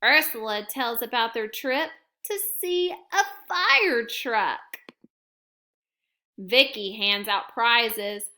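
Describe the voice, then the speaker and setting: A woman teacher reading a picture book aloud to her young students in a gentle, expressive storytime manner. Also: on the high side, drawn-out words. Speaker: kindergarten teacher.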